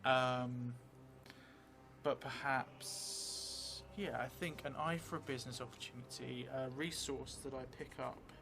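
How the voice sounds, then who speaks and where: A middle-aged man thinking aloud as he plays a solo tabletop game, halting and hesitant, often trailing off mid-thought. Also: mid-range voice, steady tone, background noise. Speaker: solo tabletop role-player streaming a game. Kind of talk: thinking aloud while playing a journaling game. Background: music.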